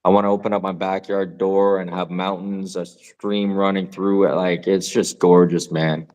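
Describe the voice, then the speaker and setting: A man co-hosting a podcast, chatting casually in a relaxed, rambling way, swearing now and then and laughing often. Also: sits low, moderate pace. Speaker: podcast co-host. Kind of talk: casual chat.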